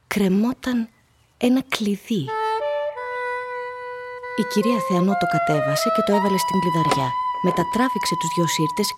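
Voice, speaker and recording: A woman narrating a literary radio play in a measured, deliberate manner. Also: mid-range voice.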